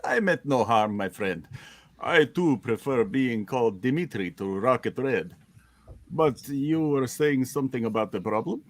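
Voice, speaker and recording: A man's voice: medium pitch, expressive, a little slow, dry recording. A man voicing a cheerful, hearty Soviet armored superhero with formal, stilted phrasing in an amateur comic book reading. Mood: friendly, reassuring.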